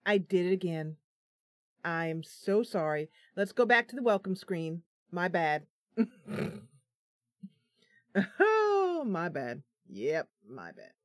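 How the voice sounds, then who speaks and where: Tired, self-deprecating woman streamer; slow, low-ish, chatty; dry close desk mic. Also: sing-song, no room sound. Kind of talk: casual live-stream chat. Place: home room at computer.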